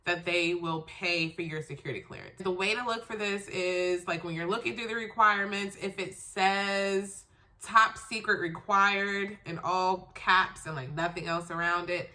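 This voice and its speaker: A woman's voice: fairly low pitch, fairly even intonation, moderate pace, sharp tone. A congested woman with a stuffy, nasal voice from a cold, giving casual career tips in a chatty, emphatic way. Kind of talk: casual how-to advice video.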